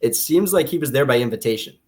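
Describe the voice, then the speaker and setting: A man's voice: mid-range voice, expressive, fast. Man casually talking through a book. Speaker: podcast co-host discussing a book.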